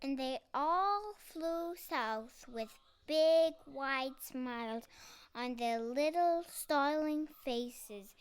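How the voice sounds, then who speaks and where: High-voiced child reading a storybook slowly. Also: animated, dry recording. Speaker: storybook reader. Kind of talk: expressive read-aloud of a children's picture book.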